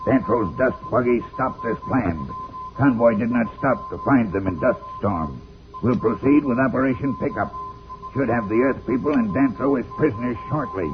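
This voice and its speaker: Alien saboteur, formal clipped delivery, very animated intonation, old radio drama, dull muffled recording. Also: male voice, mid-range voice, moderate pace, music in the background. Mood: coldly businesslike. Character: scheming male alien saboteur reporting by secret radio. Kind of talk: 1950s radio serial acting.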